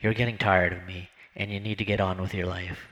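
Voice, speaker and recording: A man's voice: low-pitched, flat delivery, fairly quick, a bit bright. A man voicing an anxious, devoted old man who talks in an earnest, pleading way.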